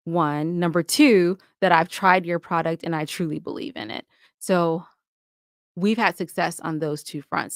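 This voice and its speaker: Emphatic woman, slightly low voice, dry sound. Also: clean tone, dry recording. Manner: emphatic, repeats points for stress. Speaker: interview guest, audio drama podcaster. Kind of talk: casual conversational interview.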